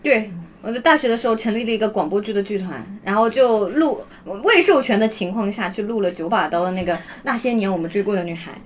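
A woman's voice: mid-range voice, expressive, fast, slight background noise. A young woman chatting casually as a podcast panelist.

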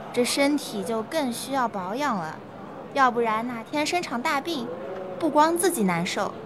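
A woman's voice: fairly high pitch, background noise. A woman playing an ingratiating, persuasive health-product saleswoman in a radio play. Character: smooth-talking, pushy female health-product saleswoman pitching magnetic mattresses. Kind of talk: amateur legal-education radio play.

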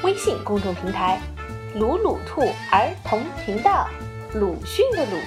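A woman's voice: mid-range voice, sing-song, measured pace. A woman hosting a children's channel, addressing young listeners in a scripted promotional sign-off. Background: music.

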